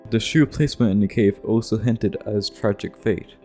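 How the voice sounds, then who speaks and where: A man's voice: on the low side, moderate pace, dark tone. A man narrating casual, scripted video game commentary in an offhand, irreverent way. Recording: music behind the voice. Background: guitar music.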